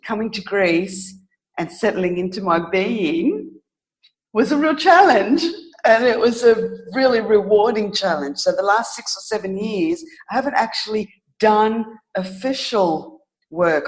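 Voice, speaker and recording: A middle-aged woman telling her own story as an interview guest, reflective and thoughtful, often pausing to search for words. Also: medium pitch, low-quality recording.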